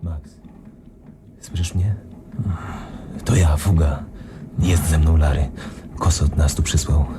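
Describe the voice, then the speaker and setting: An actor playing a gruff ex-commando comrade in a radio crime play. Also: male voice, a bass voice, fairly even intonation, slow, pauses often. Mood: hushed, urgent. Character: gruff male ex-commando keeping watch for his comrade. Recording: noisy radio play scene.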